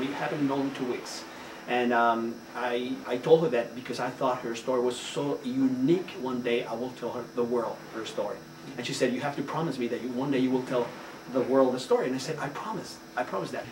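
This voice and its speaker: A man's voice: mid-range voice, fairly quick. A man, a playwright, giving earnest interview answers, often searching for words and repeating himself. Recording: roomy, distant mic, noisy ambience.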